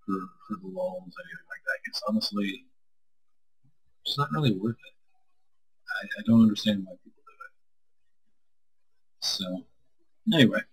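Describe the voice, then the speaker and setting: A man's voice: fairly low pitch, fairly bright tone, breathy edge, rough recording. A man streaming as a co-host, chatting casually with viewers in a rambling, self-deprecating way.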